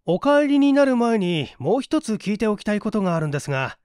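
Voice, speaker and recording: A man's voice: high-pitched, big pitch swings, quick pace, darkish tone, clear, resonant tone, no echo, studio quality, voice pushed up. A man voicing a composed, shrewd male detective who questions with polite assurance in an audiobook mystery. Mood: composed, assured.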